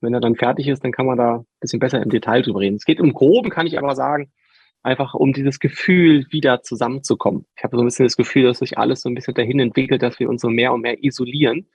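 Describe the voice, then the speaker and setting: A man's voice: mid-range voice, fairly even intonation, fast, a little mellow, breathy edge, dry recording, lo-fi sound. A man being interviewed as a musician, chatting easily and laughing now and then as he tells stories. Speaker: musician guest. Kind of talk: relaxed interview chat.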